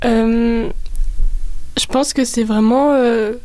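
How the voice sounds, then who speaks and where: A young woman student in a casual discussion, hesitant and informal, filling pauses often. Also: fairly high pitch, steady tone, dark tone, dry recording. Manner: hesitant, many fillers, informal wording. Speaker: student panelist.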